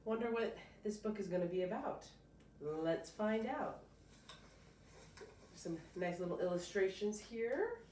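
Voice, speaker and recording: A woman teacher reading a picture book aloud to a child, pausing to explain and prompt with encouraging questions. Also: medium pitch, expressive, warm tone. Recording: roomy, distant mic, faint noise.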